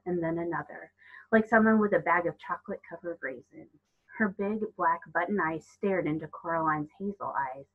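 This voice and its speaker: Female storyteller for children's fiction: lower register, husky touch, narrated read-aloud, muffled recording. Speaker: storybook reader. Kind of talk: reading a children's novel aloud.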